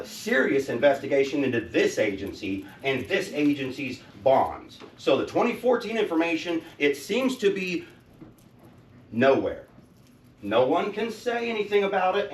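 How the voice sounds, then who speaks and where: A man with a loud voice questions the school board at a public meeting, insistent and trying to keep himself calm. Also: fairly high pitch. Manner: loud and insistent, says he is trying to speak softly. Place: school district office lobby at a public meeting. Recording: roomy echo, distant mic, faint background noise.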